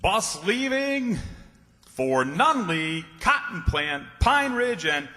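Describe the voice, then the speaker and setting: A man acting a loud bus depot announcer calling out in a drawn-out way in an old-time radio comedy. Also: high voice, very animated, loud music behind the voice, heavily acted. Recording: echoey hall with long reverb.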